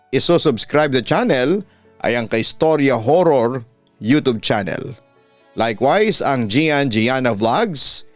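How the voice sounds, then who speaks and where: A Filipino man with a smooth, resonant voice, narrating stories as a radio-style host. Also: medium pitch, no room sound. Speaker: radio announcer and horror story host. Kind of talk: dramatic narration of listener letters. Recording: dry close voice over background music. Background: music.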